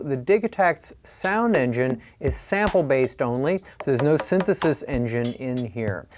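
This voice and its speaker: A man presenting a scripted product review in a clear, upbeat explanatory way. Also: a little high, a little mellow.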